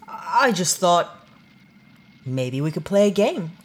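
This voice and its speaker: A woman playing an easygoing, caring space-crew companion in a naturalistic sci-fi audio drama, casual and gently coaxing. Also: on the low side, very animated, very slow, full character voice.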